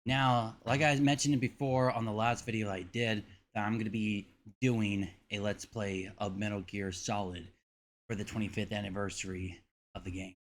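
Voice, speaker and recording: A man with a fairly low voice gives casual reaction commentary as a video host. His delivery is halting, with short muttered remarks. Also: level tone, a little hiss or hum.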